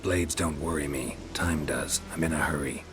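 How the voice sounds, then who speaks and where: An actor playing a gruff, world-weary and terse monster hunter in a video game, speaking in a deadpan, gravelly murmur. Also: male voice, extremely low pitch, moderate pace, music in the background. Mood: dry, terse. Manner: deadpan, terse, clipped sentences.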